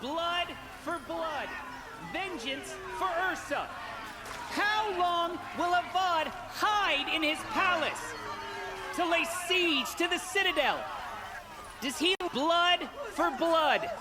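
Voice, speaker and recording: An actor playing an angry street agitator in a video game, declaiming and demanding vengeance like a rabble-rouser. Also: male voice, extremely high pitch, takes it slowly. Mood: rallying. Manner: declamatory, chanting, rabble-rousing. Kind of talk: video game crowd oratory. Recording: outdoor shouting amid loud crowd noise.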